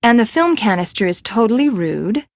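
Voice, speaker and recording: An actress playing an imperious, eccentric fashion designer in a video game, with a haughty, dramatic, emphatic delivery. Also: female voice, a little high, big pitch swings, moderate pace, no echo. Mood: delighted, affected.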